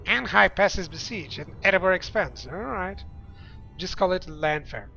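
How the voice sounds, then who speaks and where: A young man talks loosely to his viewers while he plays, rambling from one thought to the next and laughing often. His voice sits a little high and sounds bright and forceful. A dull, lo-fi mic carries it, with faint game music underneath. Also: expressive, vocal fry. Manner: chatty, rambling, quick laughs. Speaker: game streamer. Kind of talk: casual let's-play chat with viewers.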